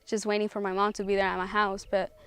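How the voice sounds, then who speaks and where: A young female student talks about her own life in an interview. Her voice sits at an average pitch, and her delivery is personal and conversational. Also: level tone, fast, a bit bright, smooth, no room sound. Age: teens to young adult.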